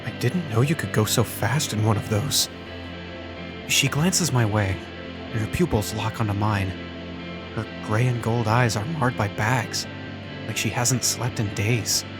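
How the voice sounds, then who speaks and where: A man's voice: lowish voice, unhurried, natural voice. Man as an uneasy teenage boy narrating over music. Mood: startled narration, then haunted, tense. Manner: introspective, wry, uneasy. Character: self-conscious teenage human boy, high school senior, narrating. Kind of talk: first-person visual novel narration, fan dub.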